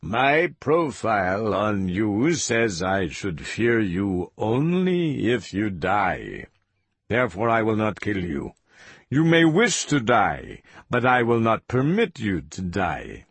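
Man acting cruel male interrogator, audiobook villain, deliberate, commanding, slightly husky, very varied intonation. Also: on the low side, a bit bright, dry recording, exaggerated voice. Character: cold, sadistic male interrogator, smugly patient. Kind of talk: audiobook character voice.

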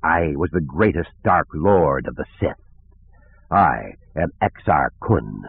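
A man voicing a proud, shadowy dark lord spirit who tempts and menaces in a slow, booming, deliberate way, in an audiobook. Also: big pitch swings, gravelly, slightly rough, no echo, full character voice. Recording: narrow phone-like band.

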